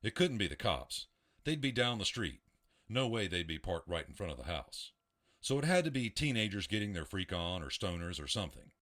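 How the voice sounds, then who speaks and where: A man narrating an audio drama in a steady storytelling voice. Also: sits low, brisk.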